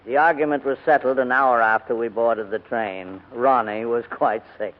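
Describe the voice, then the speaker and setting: A man acting an elderly, flustered English gentleman in 1940s radio drama, with a fussy, formal, sometimes stammering delivery. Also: medium pitch, moderate pace, over loud music, lightly acted. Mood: narrating, composed. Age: older. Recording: tinny, noisy old 1940s radio recording.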